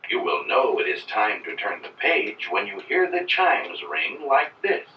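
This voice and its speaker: Animated male storybook narrator, echoey old record. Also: mid-range voice, measured pace. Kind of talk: scripted children's read-along narration. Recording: dull, echoey old record, faint noise, distant.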